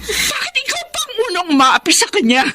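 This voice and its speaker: A woman's voice: very high-pitched, wildly swooping pitch, a little fast, noisy background, heavily acted. A woman playing an anguished, protective mother in an emotional radio drama, pleading and indignant. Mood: indignant, scolding. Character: anguished, protective mother scolding her family.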